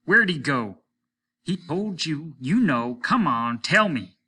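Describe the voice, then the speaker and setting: A man's voice: fairly high pitch, very animated, slow, pushed and bright, breathy edge, pitched above the performer's own voice, exaggerated voice. A man voicing a desperate, haggard spaceship captain in a story reading, insistent and demanding. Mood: angry, threatening. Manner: insistent, demanding, repeats himself urgently. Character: desperate, haggard, obsessive male spaceship captain, gun-wielding bully.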